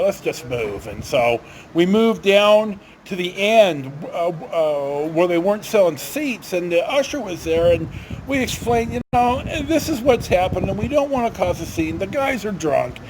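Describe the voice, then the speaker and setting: A man's voice: high-pitched, expressive, moderate pace, background noise. A middle-aged American man telling rambling personal stories in a casual, folksy, blunt and salty way. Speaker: storyteller, vlog host. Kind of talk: rambling casual anecdotal storytelling.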